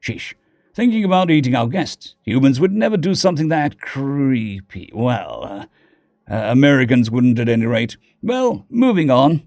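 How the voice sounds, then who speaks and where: A man's voice: mid-range voice, sing-song, moderate pace, a little mellow, no room sound, clean studio sound. A man voicing an easygoing, friendly human spaceship leader in a story, casual and good-natured. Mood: taken aback.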